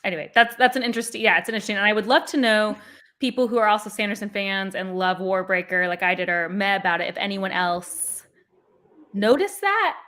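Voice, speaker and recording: A woman with a bright, forward voice hosts a casual chat about books. She rambles, with plenty of fillers and restarts. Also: medium pitch, lively intonation, a little fast. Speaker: booktube host. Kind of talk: casual book discussion.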